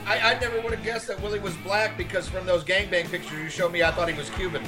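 A man on a podcast who jumps in with quick, provocative jokes, stumbling over his words. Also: high-pitched, fairly even intonation, music in the background. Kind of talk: casual crude banter.

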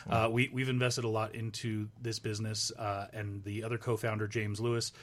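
A man's voice: lowish voice, little pitch movement. Businesslike man, guest on radio. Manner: measured, businesslike, filler-heavy. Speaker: radio guest, production company co-founder. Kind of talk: casual radio interview.

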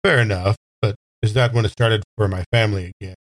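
A man's voice: on the low side, fairly even intonation, quick pace, no echo, lightly acted. A man playing a weary, questioning man with a dry manner in improvised audio drama roleplay. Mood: probing.

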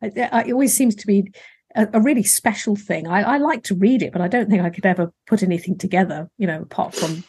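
Podcast host; slightly breathy voice, mid pitch, chatty and loose with fillers. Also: female voice, fast, darkish tone. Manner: friendly, rambling, many fillers. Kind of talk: casual interview chat.

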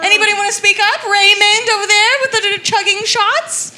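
A woman's voice: extremely high pitch, steady tone, normal speed, sharp tone, slight background noise, full character voice. A woman playing a nosy, defensive mother in an improvised comedy radio play. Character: nosy, defensive, protesting simple mother, Maria.

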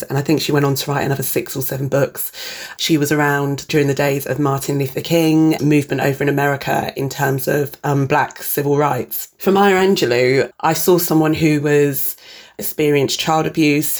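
A woman's voice: low-pitched, level tone, normal speed, a little mellow. A middle-aged woman broadcaster from the UK answering interview questions, reflective and enthusiastic as she tells stories.

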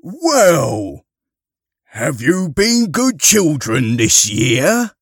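Man acting a hearty, animated old Father Christmas. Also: a little high, very slow, no echo, studio quality, voice pushed up, exaggerated voice. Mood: jolly. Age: older. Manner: hearty, projected. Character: kindly retired grandfather playing jolly Father Christmas. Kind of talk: audiobook character dialogue.

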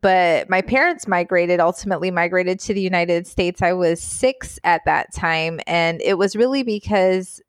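A woman's voice: on the low side, normal speed, hardly any pauses, no room sound, studio quality. A woman in her thirties from Guyana, a physician telling her life story in a casual interview, chatty with frequent laughs and fillers. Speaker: physician guest.

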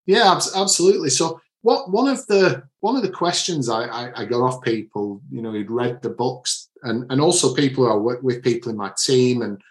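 A man's voice: mid-range voice, moderate pace, hardly any pauses. Mancunian man in an echoey room. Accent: Manchester English. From Manchester area, England. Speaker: author and leadership coach. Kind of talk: podcast interview answers.

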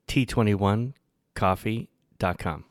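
A man's voice: on the low side, slow, dry recording, clean studio sound. A man co-hosting a podcast, welcoming a guest in a friendly, eager way. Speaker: podcast co-host.

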